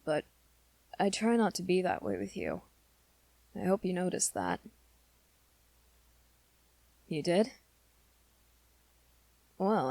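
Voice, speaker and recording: A woman's voice: fairly low pitch, creaky, no room sound. A woman voicing an awkward, earnest teenage werewolf boy in an intimate, hesitant ASMR roleplay. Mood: gentle, reassuring. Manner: hesitant, halting, rambling confession to listener.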